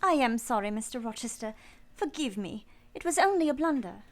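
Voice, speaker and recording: An actress playing a grave, reserved, plain-spoken young governess in a radio play, earnest and polite. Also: female voice, fairly high pitch, expressive, brisk, lightly acted. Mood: apologetic, composed. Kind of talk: radio play acting and first-person narration.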